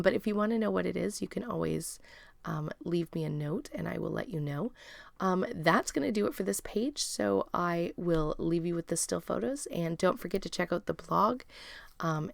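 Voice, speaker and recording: A woman with a raspy, strained voice narrating her scrapbooking process in a relaxed, chatty voiceover full of ums and uhs. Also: fairly low pitch, dry recording. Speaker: scrapbooking video host.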